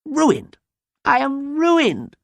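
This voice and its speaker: A man voicing an overwrought, melodramatic gentleman farmer in a storybook, wailing and stammering. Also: a little high, wildly swooping pitch, moderate pace, breathy edge, no room sound, voice pushed up, exaggerated voice. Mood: sobbing despair. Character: melodramatic, ruined gentleman farmer, sobbing and easily lovestruck.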